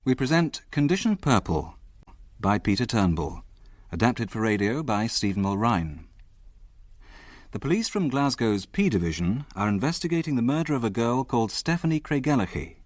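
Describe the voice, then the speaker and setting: A man reading a scripted radio drama announcement in a measured, formal manner. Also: mid-range voice, sing-song, dry recording, studio quality. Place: broadcast studio.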